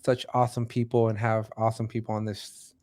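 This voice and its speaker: A man's voice: fairly low pitch, flat delivery, brisk, a little mellow. A man hosting an interview in a casual, conversational style. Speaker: host.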